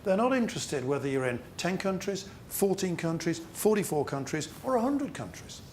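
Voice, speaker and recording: A man leading a business training seminar, speaking emphatically with rhetorical questions and deliberate repetition. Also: high voice, sing-song, slight background noise. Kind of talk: interactive training seminar talk to a group.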